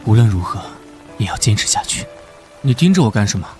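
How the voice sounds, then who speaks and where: A male actor voicing an earnest, good-natured, easily flustered police detective in an animated drama. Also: on the low side, fast talker, music in the background. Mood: earnest, resolute. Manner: conciliatory, earnest.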